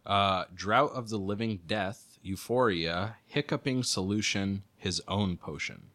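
A man's voice: lowish voice, measured pace, fairly bright tone. A man co-hosting a podcast, reading quiz questions aloud and chatting casually in an easygoing way. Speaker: podcast co-host, quizmaster.

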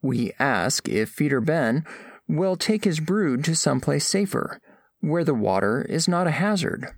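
A man's voice: on the high side, very animated, unhurried, vocal fry, breathy edge, no echo, full character voice. A man playing a formal, curious alien scholar in an audio drama, narrating observations of humans with precise, clinical phrasing.

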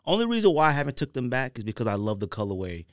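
A man's voice: medium pitch, very animated, rapid-fire, no room sound, studio quality. An American man, middle-aged, hosting a podcast in casual, slangy chat, telling stories with emphatic repetition. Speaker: podcast host. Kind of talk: casual chat about sneakers. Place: close-miked podcast studio.

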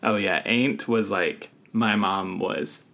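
A man from the Deep South co-hosts a laid-back podcast conversation over a video call. His voice has a gravelly edge, and he talks in a thoughtful, reflective way with an occasional laugh. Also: slow, fairly bright tone. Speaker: podcast co-host. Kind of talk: casual chat.

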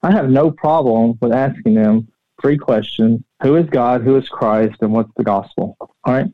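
A middle-aged man telling personal stories as an interview guest, plain-spoken and halting, often repeating words. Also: medium pitch, no echo. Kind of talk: casual personal storytelling. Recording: dull, muffled but dry audio.

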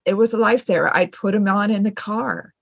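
A middle-aged woman interviews in a casual, conversational way. Her voice is husky, with plenty of vocal fry, at an ordinary pitch for a woman. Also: brisk, no room sound, clean studio sound. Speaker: interviewer, homeschooling mother.

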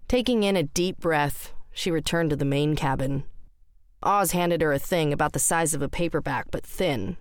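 A woman's voice: deep voice, moderate pace, no room sound. A woman reading scripted story narration for audio fiction. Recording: roomless dry studio, faint music underneath. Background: faint music.

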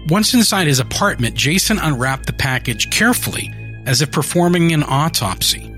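A man narrating a horror short story in a measured, steady scripted reading. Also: mid-range voice.

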